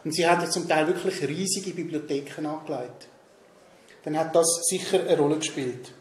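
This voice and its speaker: A man's voice: a little high, moderate pace. A man in his thirties with a Swiss German accent, presenting a prepared talk at a discussion evening, often stumbling over names with ums and restarts. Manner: reads from notes with frequent um and restarts on names. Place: meeting room in a large hall, seated at a table. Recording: roomy hall with faint noise.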